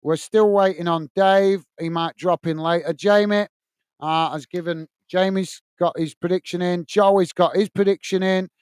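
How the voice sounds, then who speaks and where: A man with a Lancashire accent hosts a football live stream, talking straight and with passion. His voice sits fairly high and comes through smooth and clean. The sound is dry and close, with no room echo. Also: steady tone, moderate pace, a little mellow. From England. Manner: passionate, blunt, addresses viewers by name. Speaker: football channel host reading live chat. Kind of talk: casual live-stream chat. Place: small home studio.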